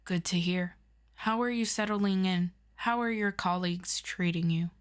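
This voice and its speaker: A woman playing a calm, formal superior of a superhero team in an audio roleplay, asking measured, probing questions. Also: lowish voice, clear, resonant tone, natural voice. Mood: courteous, probing. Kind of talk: scripted audio roleplay addressed to the listener.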